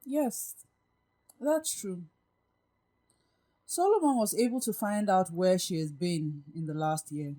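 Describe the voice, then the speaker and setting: Poised, lowish-voiced self-assured woman actress. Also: slow, pauses often, mellow. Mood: businesslike, informative. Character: Gabrielle, poised, self-assured woman behind emotional walls. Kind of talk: audio drama acting.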